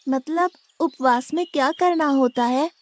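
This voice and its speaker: A woman's voice: sits high, unhurried, dry recording. A woman voicing a naive, blunt, stubborn young village lad with a big appetite in a moral story. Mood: questioning. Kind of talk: animated moral story dialogue in Hindi.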